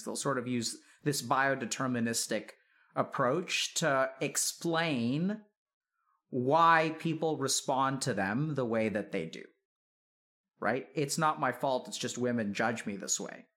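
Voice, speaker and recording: A man's voice: mid-range voice, unhurried, a bit bright. A man in a conversational counseling interview, reflective and probing, building his points step by step and checking in with questions.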